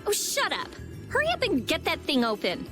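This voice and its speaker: A woman voicing a brash, prickly teenage girl genius in a video game, brusque and excitable. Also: very high-pitched, a little fast, music in the background, exaggerated voice. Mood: irritable. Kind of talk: English video game voice acting.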